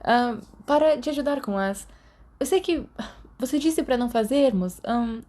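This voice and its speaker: A Brazilian woman voicing a nervous, earnest teenage wizard boy who speaks haltingly in an audiobook. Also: fairly high pitch, animated, warm tone, pitched above the performer's own voice, lightly acted. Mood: panicky, apologetic. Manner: halting, stammering.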